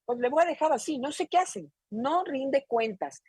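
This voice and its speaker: A woman's voice: expressive, normal speed, darkish tone, dry recording. A woman interviewer, questioning a guest in a probing, emphatic manner. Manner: probing and emphatic, rising in pitch when pressing a point.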